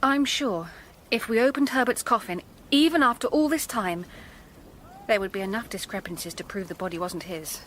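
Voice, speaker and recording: A woman's voice: mid-range voice, normal speed, fairly bright tone, slight background noise, lightly acted. An actress playing a determined, grave young woman in a radio drama, composed and probing. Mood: sorrowful, probing.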